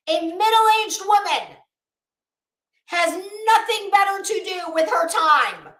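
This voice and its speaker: A woman's voice: very high voice, a bit bright. An American woman livestreamer giving casual, profane commentary in a scornful, sarcastic manner, leaning hard on emphatic delivery. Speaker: online livestream host. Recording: roomy, echoing sound.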